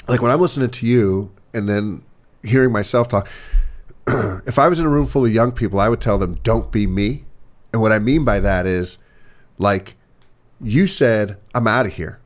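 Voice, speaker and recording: A man's voice: on the low side, animated, moderate pace. A man in his forties speaking candidly and earnestly as a podcast guest, often repeating himself and checking in with "right?". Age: 40s. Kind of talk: candid conversational interview. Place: podcast studio.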